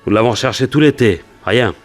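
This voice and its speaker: A man's voice: mid-range voice, normal speed, faint music behind, close to an everyday voice. An actor playing a wary, plain-spoken cowboy and gold prospector in a French radio detective drama, telling his story in colloquial speech. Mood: recounting earnestly.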